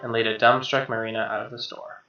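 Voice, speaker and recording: A man's voice: mid-range voice, level tone, fast, vocal fry, echoey room, lo-fi sound. A man reading visual novel narration aloud as a let's player, with offhand asides. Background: soft game music with guitar.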